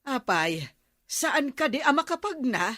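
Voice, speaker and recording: A woman's voice: sits high, sing-song, measured pace, sharp tone, no echo, studio quality, full character voice. An actress playing a concerned, chatty village woman who gives advice in a comedy radio drama. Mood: alarmed.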